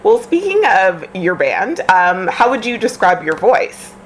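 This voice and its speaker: Woman interviewer, lively pitch, short encouraging replies, faint background noise. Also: unhurried, low-quality recording. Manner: brief encouraging backchannels. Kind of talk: casual interview talk.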